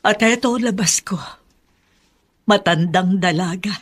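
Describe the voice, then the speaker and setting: A Filipino woman playing a forgiving middle-aged spinster aunt in a radio drama, earnest and gently persuasive. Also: medium pitch, measured pace, lightly acted. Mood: earnest, pleading.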